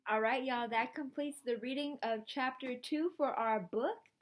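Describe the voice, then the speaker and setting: A woman teacher reading a storybook aloud and talking to her students in an expressive, encouraging way. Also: high-pitched, unhurried. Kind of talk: storybook read-aloud and lesson to camera.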